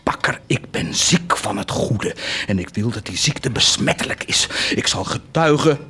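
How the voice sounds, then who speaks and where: A man's voice: medium pitch, lively intonation, measured pace, breathy edge, heavily acted. An actor playing a proud, fervent nobleman set on doing good, declaiming with theatrical intensity in a radio drama. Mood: fervent, exalted. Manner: declamatory, impassioned, swinging to hushed intensity.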